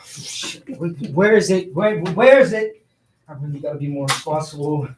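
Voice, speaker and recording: A man's voice: fairly high pitch, normal speed, slight background noise, natural voice. Guy acting out flustered young ladies man in a play reading, stammery n exasperated, echoey room far from mic. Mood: frantic searching. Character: flustered, evasive young womanizing man hiding a date. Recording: roomy echo, distant mic.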